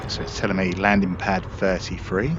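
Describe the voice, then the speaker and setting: Low-pitched man doing casual game commentary. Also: little pitch movement, normal speed, muffled recording, noisy background. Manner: informal, explanatory. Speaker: gameplay video host. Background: spaceship game sounds.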